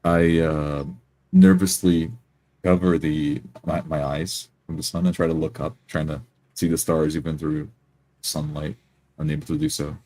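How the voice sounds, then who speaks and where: Male, extremely low pitch, dark soft tone, halting delivery, improvised roleplay narration. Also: level tone. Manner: halting, hesitant. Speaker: tabletop roleplay player.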